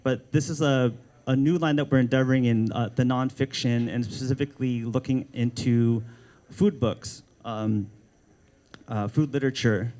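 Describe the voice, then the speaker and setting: A man's voice: medium pitch, fairly even intonation, normal speed, mellow. A Filipino American man, an art director, speaking at a book launch with frequent ums and restarts. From United States. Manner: hesitant, many ums and restarts.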